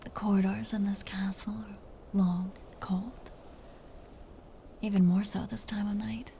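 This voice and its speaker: A tender, possessive vampire countess acted by a woman whispers close to the mic in an intimate ASMR roleplay. Her delivery is very unhurried, soothing and teasing, with dark, soft tone and gravelly fry at the edges. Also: medium pitch, flat delivery, a little hiss or hum. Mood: vulnerable, wistful. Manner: slow, soothing, teasing endearments.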